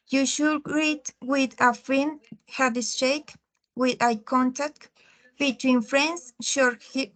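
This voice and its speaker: Slow, halting young woman learner. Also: high voice, fairly bright tone, low-quality recording. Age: 20s. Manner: halting, with restarts and fillers. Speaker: English learner. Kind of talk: reading a class presentation.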